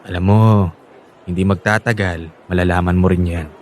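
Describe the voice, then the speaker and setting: Low-voiced, reassuring Filipino husband played by an actor. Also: male voice, fairly even intonation, moderate pace, a little hiss or hum, natural voice. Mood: casual, tender. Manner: coaxing, encouraging. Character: upbeat, reassuring young husband longing for a child. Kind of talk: Filipino radio drama acting. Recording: music bed under the voice.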